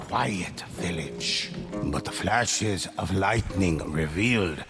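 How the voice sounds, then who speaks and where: Game narrator: solemn teller of legends, lowish voice, measured dramatic pauses, prominent soundtrack underneath. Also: male voice, animated, music in the background, heavily acted. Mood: grave, foreboding. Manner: measured, grave, with weighty pauses. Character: solemn male storyteller recounting a grim legend. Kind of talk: dramatic scripted storytelling narration.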